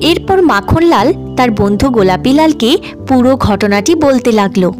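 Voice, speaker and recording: A woman's voice: fairly high pitch, lively intonation, normal speed, music in the background. A woman narrating a scripted fairy tale for children in storybook style.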